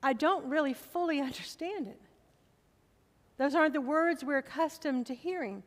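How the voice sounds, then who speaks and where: A middle-aged woman pastor preaching a sermon from the pulpit of a church, with slow, emphatic appeals to the congregation. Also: high voice, long reverb tail.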